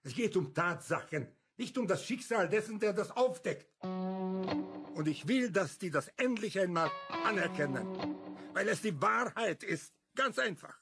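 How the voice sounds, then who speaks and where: An actor playing an embittered, irascible journalist in a German radio play, insistent and sarcastic, with a gravelly, husky voice. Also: male voice, high-pitched, lively intonation, measured pace, over music. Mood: bitter. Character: embittered, indignant male journalist, camp survivor.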